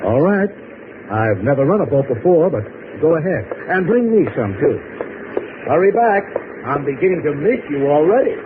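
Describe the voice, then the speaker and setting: A man's voice: fairly high pitch, very animated, normal speed, phone-quality sound. An actor playing a menacing, sardonic gunman in 1950s radio drama, talking with mocking politeness. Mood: mock friendly. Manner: smooth-talking, mocking, threatening. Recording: noisy, band-limited vintage radio recording.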